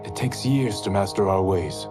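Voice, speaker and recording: A man's voice: deep voice, normal speed, natural voice. An actor playing an earnest, dutiful young male warrior in a game cutscene. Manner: earnest, principled. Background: orchestral game music.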